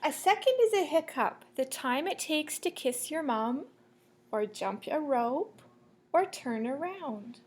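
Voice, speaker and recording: A woman reading a children's picture book aloud like a teacher, in a measured, sing-song storytelling way. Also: high-pitched, lively intonation, darkish tone. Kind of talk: children's read-aloud storytelling with asides to listeners.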